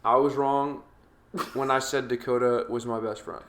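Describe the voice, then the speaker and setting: A man's voice: mid-range voice, normal speed, crowd noise. A young man co-hosting a podcast, teasing and joking in casual chat. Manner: playful, teasing, animated. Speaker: podcast co-host. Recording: roomy echo, faint music.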